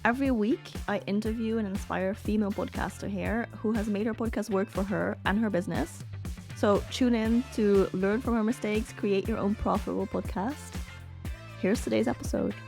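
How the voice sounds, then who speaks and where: A woman's voice: medium pitch, normal speed, gravelly, darkish tone, soft background music. A woman hosting an interview podcast, speaking in an upbeat, enthusiastic way. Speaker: podcast host.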